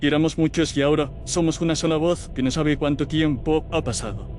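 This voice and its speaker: Man voicing a mournful ghost child, fairly high. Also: fairly even intonation, darkish tone, slight background noise, close to an everyday voice. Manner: slow, cadenced, solemn. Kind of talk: horror audio story acting.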